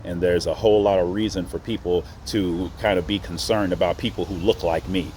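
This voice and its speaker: A middle-aged American man from Oklahoma giving a reflective monologue in an earnest, deliberate and emphatic way, pausing between phrases. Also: low voice, fairly even intonation, darkish tone, slight background noise. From Oklahoma, United States. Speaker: host.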